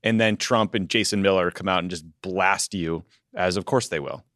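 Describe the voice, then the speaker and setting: A man's voice: deep voice, normal speed, fairly bright tone, no echo, clean studio sound. A man co-hosting a casual political podcast, blunt, profane and teasing. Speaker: podcast co-host.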